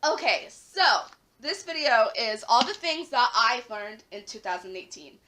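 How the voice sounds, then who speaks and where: A young woman talks casually to her camera at home, her voice raspy and hoarse after a recent flu. Her pitch sits a touch high and her tone is very bright. She strings her thoughts together with lots of "like" fillers and punchy emphasis. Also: very animated, a little slow. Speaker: vlogger.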